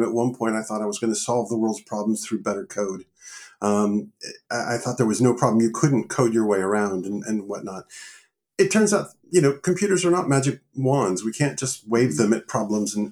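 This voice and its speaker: Relaxed middle-aged American man. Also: mid-range voice. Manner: relaxed, joking, frequent self-restarts. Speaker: guest, engineering leader. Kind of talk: casual interview talk.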